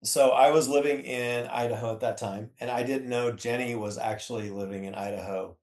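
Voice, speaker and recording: A man's voice: medium pitch, steady tone, fairly quick, a little mellow. Man, fifties, American accent, casual plain-spoken recollection, conversational, roomy echo. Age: 50s. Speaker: podcast guest, author's husband. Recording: echoey room.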